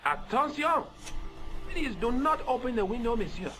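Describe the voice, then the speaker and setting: A fussy, indignant French man in a video game. His high voice swoops dramatically with a French accent. There is noticeable noise behind him. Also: very animated, moderate pace, pushed and bright, heavily acted. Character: fussy, indignant French man worried about air pollution.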